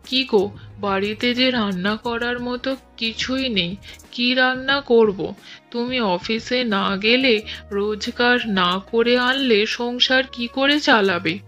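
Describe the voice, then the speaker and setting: A woman's voice: fairly high pitch, takes it slowly, fairly bright tone, faint music behind. A woman voicing a demanding cartoon wife who keeps insisting on being bought things. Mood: worried. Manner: insistent, wheedling. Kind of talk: cartoon voice acting.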